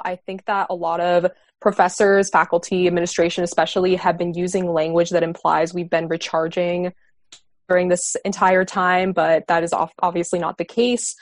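A woman of about twenty from the Boston area, speaking as a student guest on a panel. She is direct and quick, with a few restarts along the way. Her voice sits on the low side, and the recording sounds dry and close. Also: no room sound. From Boston area, USA. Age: around 20. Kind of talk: discussion on a panel.

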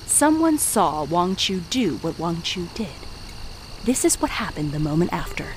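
A wry storyteller in an audio drama takes her time over a murder tale. Her delivery is deliberate and theatrical, with a lively rise and fall. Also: on the low side, slight background noise. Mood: teasing. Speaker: narrator.

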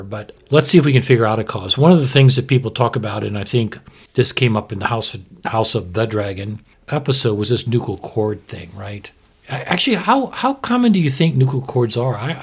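An older man with a husky voice, co-hosting a podcast as a doctor and explaining medical matters conversationally in plain words. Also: lowish voice. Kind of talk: casual explanatory podcast talk.

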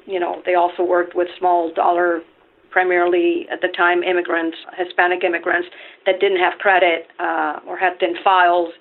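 Low-voiced woman on a tinny line. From Venezuela. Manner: measured, explanatory storytelling. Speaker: guest, company CEO. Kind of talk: podcast interview. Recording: tinny phone-line sound.

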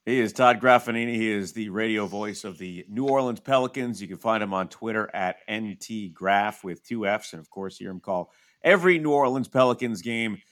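A man's voice: on the low side, moderate pace, a bit bright, no echo, studio quality. A man hosting a casual sports interview as the interviewer. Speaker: sports podcast host, interviewer.

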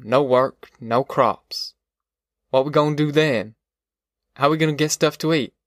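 A man's voice: mid-range voice, a bit bright, dry recording, clean studio sound. A man voicing a defeated, homesick middle-aged farmer in an audiobook, plainspoken and resigned, with a rural Southern American accent. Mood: despairing. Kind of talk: audiobook character dialogue.

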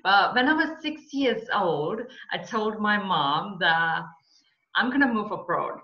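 A Finnish-accented woman talks slowly as an interview guest, sharing personal stories and explanations. Her voice sits at a middle pitch, and the sound is roomy and a little dull. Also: animated. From Finland. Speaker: interview guest, coach and mentor.

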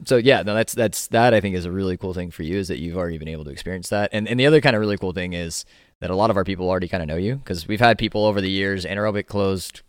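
Male host, very low voice, dry close sound, loose rambling conversational delivery. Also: racing, clear, resonant tone, no echo. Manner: rambling, many restarts and fillers. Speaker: podcast host, gym owner. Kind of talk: casual interview chat.

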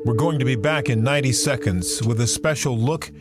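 A man hosting an interview podcast, speaking in a measured, deliberate way. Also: mid-range voice, loud music behind the voice. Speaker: podcast host.

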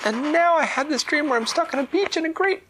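A man voicing a small, frightened female pufferfish, high, squealing and pleading. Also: lively intonation, a little fast, heavy background noise. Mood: distressed.

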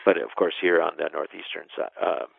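A middle-aged man, giving measured, explanatory answers as an interview guest. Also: very deep voice, creaky, breathy edge, dry recording. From west central Wisconsin, United States. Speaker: interview guest, national park superintendent. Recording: narrow phone-line sound.

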